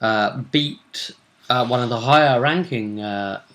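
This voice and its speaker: Bright-voiced man recapping a game. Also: mid-range voice, measured pace. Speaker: game master recapping the session. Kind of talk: casual tabletop role-play recap.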